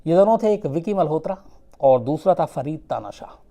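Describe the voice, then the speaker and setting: A man telling a true-crime story without a script, conversational and suspenseful, with dramatic pauses. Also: a little high, animated, vocal fry, warm tone.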